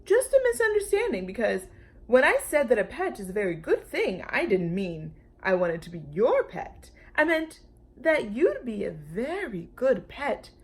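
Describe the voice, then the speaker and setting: A woman voicing a polite, self-assured male brontosaurus in a children's storybook, gently insistent in manner. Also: on the high side, sing-song, measured pace. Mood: calm, reasonable.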